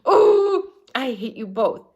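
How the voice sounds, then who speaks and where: A bossy schoolgirl from a storybook, acted out by a woman reader. The voice jumps high and swings widely, full of dramatic, indignant protest. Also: big pitch swings, slow, a little mellow, husky, muffled recording, heavily acted. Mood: indignant, upset.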